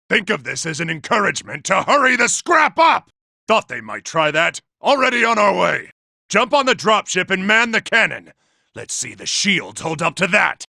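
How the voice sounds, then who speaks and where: A giant war robot from a video game, a male military tactician used to command. The voice is heavily gravelly and rasping, with a hard, cutting edge. He is impatient and forceful, and his pitch moves widely. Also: no room sound, clean studio sound, heavily acted. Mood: angry, urgent. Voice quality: gravelly, raspy. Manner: forceful, barking orders. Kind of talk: video game character acting.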